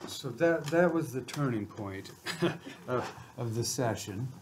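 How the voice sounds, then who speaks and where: A man in his fifties with a soft voice, speaking haltingly as he leads an informal seminar discussion. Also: mid-range voice, expressive. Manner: hesitant, halting delivery. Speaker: psychoanalyst leading a training seminar. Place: book-lined office, indoors. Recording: noisy room recording.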